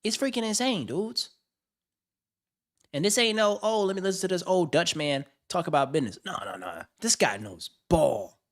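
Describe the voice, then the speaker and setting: A man's voice: high-pitched, animated, moderate pace, a bit bright. A man hosting a livestream, reacting to a video with hyped, slang-heavy banter and frequent laughs. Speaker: streamer host. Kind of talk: casual livestream commentary.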